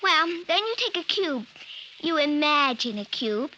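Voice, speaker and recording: A woman's voice: high-pitched, big pitch swings, a little slow, bright, forceful tone, highs cut off, slight background noise, heavily acted. A woman playing a precocious, defiant five-year-old girl in 1950s radio drama, with a childlike, matter-of-fact delivery. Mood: earnest explaining.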